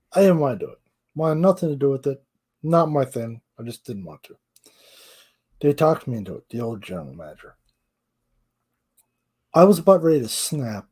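A man's voice: medium pitch, warm tone, slightly rough. A man co-hosting a casual wrestling podcast, talking bluntly and matter-of-factly, telling stories.

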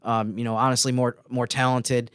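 A man talks through sports analysis casually, halting as he thinks. His voice is clean and medium in pitch, recorded with almost no room sound. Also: little pitch movement, fairly bright tone, clean tone, no echo, clean studio sound. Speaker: podcast co-host and sports analyst.

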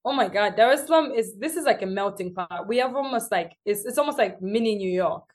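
A young woman with a Tanzanian accent, a guest in a casual interview, telling stories in a lively way and acting out what others said to her. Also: medium pitch, fairly quick.

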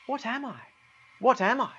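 Man doing a high, wondering female creature. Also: lively intonation, moderate pace, bright, forceful tone, breathy edge, dull audio, heavily acted. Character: bewildered, muddy young-sounding female bunyip.

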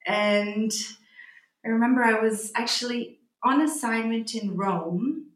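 Thoughtful Canadian woman in an echoey room. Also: a little high. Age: 40s. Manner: reflective, pausing and restarting phrases. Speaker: guest, magazine editor. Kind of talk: interview conversation. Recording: roomy echo.

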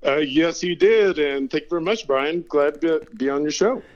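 A man's voice: a little high, moderate pace, lo-fi sound. A middle-aged man from California, a guest telling stories in a laid-back, casual way and laughing between them. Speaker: interview guest. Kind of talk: casual storytelling.

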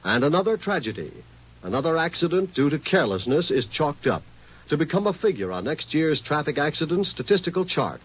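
A man's voice: a little high, very animated, brisk, husky. A man reading a scripted public safety announcement on 1950s radio in an earnest, emphatic way. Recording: muffled old 1950s radio recording.

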